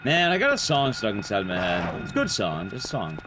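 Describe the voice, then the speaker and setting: Animated male game streamer, chatty. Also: fairly low pitch, a little fast, heavy background noise. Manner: rambling, playful asides. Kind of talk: casual livestream commentary to chat. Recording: loud music under the voice. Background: game and stream music.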